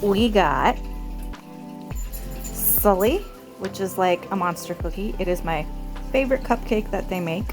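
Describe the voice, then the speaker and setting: A woman's voice: mid-range voice, expressive, a little slow, long pauses, over music. A woman book vlogger chatting casually to camera in informal, slangy language.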